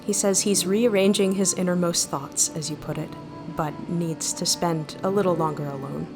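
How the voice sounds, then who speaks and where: An actress playing a thoughtful, gentle starship mind in an audio drama, with measured, wistful, formal phrasing. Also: female voice, lowish voice, fairly even intonation, natural voice. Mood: calm, explaining. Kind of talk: audio drama narration and dialogue. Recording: music behind the voice.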